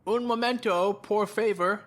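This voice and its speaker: A man's voice: high-pitched, steady tone, a little slow, a bit bright. A man acting a chipper, needling lawyer in an audio drama, breezy, sarcastic and boastful. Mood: chipper, teasing.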